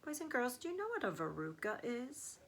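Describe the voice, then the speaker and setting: A woman's voice: fairly high pitch, sing-song, a little fast, a bit bright. A woman reading a children's story aloud as a teacher would, with emphatic storytelling phrasing.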